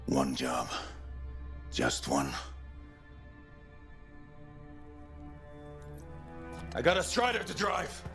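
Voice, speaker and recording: An actor playing a gruff, stubborn veteran freelancer leader in a video game cinematic, speaking curtly. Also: male voice, very deep voice, huge pitch swings, measured pace, a bit bright, faint music behind, full character voice. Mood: angry, bitter.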